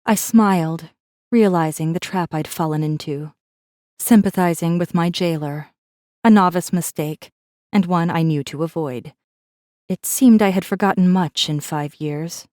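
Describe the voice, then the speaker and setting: A woman voices a defiant rebel heroine telling her own story in an audiobook. Her voice sits low and warm, with a controlled, introspective delivery. The sound is dry and close, and she stays guarded and wry. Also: measured pace, dry recording, close to an everyday voice. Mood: brooding. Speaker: audiobook narrator. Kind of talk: first-person scripted narration, audiobook.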